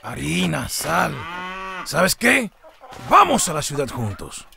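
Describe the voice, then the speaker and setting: An actor voicing a bossy, greedy, stubborn peasant in an animated folk tale, gravelly and blustering. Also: male voice, on the high side, slow, crowd noise, full character voice. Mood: scheming. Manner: blustering, insistent.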